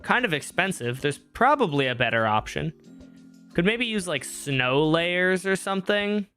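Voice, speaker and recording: Bright-voiced young man streaming a game. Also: high voice, sing-song, unhurried, faint music behind. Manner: rambling, self-joking, thinks aloud. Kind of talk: casual live-stream gameplay talk. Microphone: streaming microphone.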